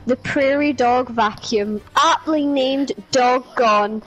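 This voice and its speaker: A woman's voice: high voice, rough recording. A woman reading an article aloud in a mock-dramatic way, slipping in sarcastic asides. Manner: slow, theatrical reading broken by giggles and swearing.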